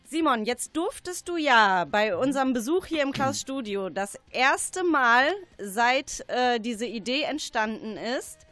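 A woman's voice, a little on the high side, over quiet music. She hosts a radio interview, talking conversationally to her guests and the listeners. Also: animated, unhurried, dry recording.

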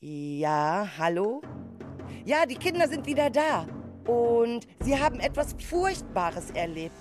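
A woman's voice: on the high side, big pitch swings, slow, music in the background. A woman playing a worried, stern children's group leader in a children's radio play, with emphatic, exasperated delivery. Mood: hurried, serious.

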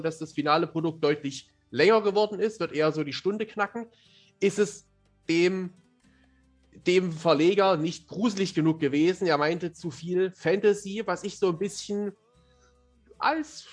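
High-voiced, talkative young man. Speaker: podcast guest, fantasy author. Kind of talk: casual, talkative storytelling.

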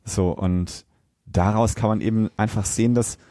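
A man with a German accent hosting a podcast, explaining facts in a casual, halting way. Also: lowish voice, steady tone, dark tone, no room sound, studio quality. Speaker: podcast host.

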